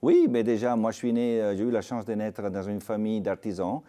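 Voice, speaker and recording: An older man from Italy with an Italian accent, a restaurateur telling stories in a TV interview, halting with many fillers. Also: on the low side, dark tone. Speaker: restaurateur guest. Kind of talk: TV interview, anecdotal.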